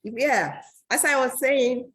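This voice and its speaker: A woman's voice: sits high, slow, a bit bright. A woman from Ghana, a guest speaking politely and formally over a video call. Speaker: award-winning guest.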